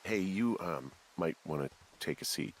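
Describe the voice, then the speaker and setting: An American actor playing a weary, uneasy fire lookout in a video game, speaking plainly in halting, muttered conversation. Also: male voice, low voice, animated, slight background noise. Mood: somber, anxious. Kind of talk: naturalistic game dialogue.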